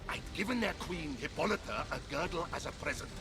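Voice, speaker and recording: A man's voice: fairly high pitch, animated, brisk, close to an everyday voice. A man playing a vain, blustering, touchy war god in a video game, theatrical and easily flustered. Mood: sheepish, confiding. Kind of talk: video game cutscene voice acting. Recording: music behind the voice.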